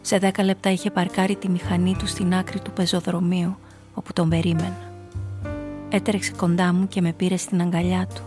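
A woman's voice: fairly low pitch, flat delivery, close to an everyday voice. Actress as frightened woman narrator over music. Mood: shaken, somber. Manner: intimate, confessional first-person storytelling. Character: frightened female blackmailer narrating her own story. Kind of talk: scripted radio play narration.

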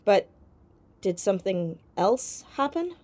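A woman playing a sharp, persistent fourteen-year-old girl detective in an audio drama, questioning directly. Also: mid-range voice, sing-song, takes it slowly. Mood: curious, concerned. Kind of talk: audio drama acting, naturalistic.

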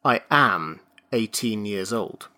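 A man's voice: medium pitch, steady tone, moderate pace, pushed and bright, natural voice. A man voicing an earnest young man who firmly insists on his age. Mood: insistent. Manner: firm, earnest protesting.

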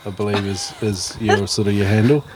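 A man's voice: on the low side, little pitch movement, a little fast, background noise. A man in the role of interviewer. He talks in a casual, conversational way, putting questions to guests and responding informally. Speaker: interviewer, host.

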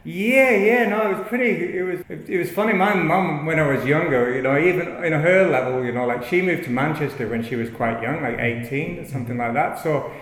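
A man with a northern English accent, a guest telling his life story in a halting, rambling way with many restarts. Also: a little high, fairly bright tone. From north of England. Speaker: yoga studio owner, guest. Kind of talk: casual interview, rambling storytelling. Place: yoga studio. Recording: echoey studio room, long reverb.

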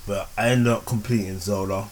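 Animated man telling game stories. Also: on the low side, background noise. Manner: animated storytelling, quick asides. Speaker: podcast co-host. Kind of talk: casual podcast chat.

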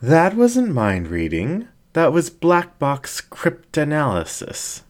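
A man voicing a seductive, haughty fox incubus ringmaster in a story, with a drawn-out, theatrical, teasing delivery. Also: fairly high pitch, huge pitch swings, voice pushed up, heavily acted. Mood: aloof.

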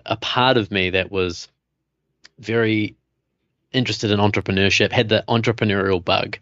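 Man with a New Zealand accent, deep-ish voice, dry sound, dull lo-fi recording. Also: dry recording. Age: 30s. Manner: relaxed storytelling with frequent uh fillers. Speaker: guest, coach. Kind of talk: casual interview chat.

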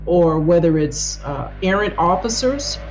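A woman with a fairly low voice gives explanatory interview commentary. She is measured and emphatic, laying out each point in turn. The recording is slightly dull. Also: expressive, darkish tone, soft background music.

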